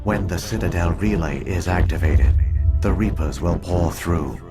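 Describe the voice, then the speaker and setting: Game role: ancient machine guardian, very low voice, formal, measured, weary gravity, over clear music. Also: male voice. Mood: grave. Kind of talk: scripted video game dialogue.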